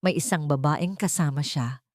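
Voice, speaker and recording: A woman narrating a scripted love story in a dramatic, measured storytelling manner. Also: low voice, darkish tone, no echo, studio quality.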